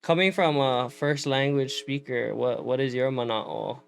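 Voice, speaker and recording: A man's voice: medium pitch, steady tone, measured pace, vocal fry. Easygoing male host. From Hawaii. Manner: easygoing, with "bro" and "like" fillers. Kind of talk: casual interview.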